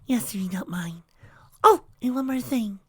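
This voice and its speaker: A woman voicing a shy, gentle pegasus mare who talks to animals, speaking timidly in a soft, breathy whisper. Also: medium pitch, expressive, fairly quick.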